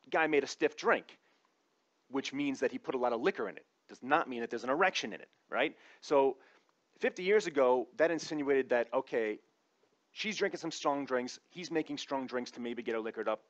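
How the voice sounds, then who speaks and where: A man's voice: mid-range voice, fairly quick. Wry man in dull lo-fi sound. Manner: informal, wry, sometimes profane. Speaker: comedic commentator. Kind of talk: conversational opinion monologue with comic asides.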